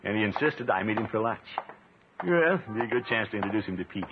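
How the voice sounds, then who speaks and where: A man's voice: on the low side, lively intonation, fast talker, natural voice. An actor playing a genial, pompous middle-aged man in a 1950s radio comedy, chuckling, exclaiming and easily flustered. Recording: scratchy, tinny 1950s radio recording.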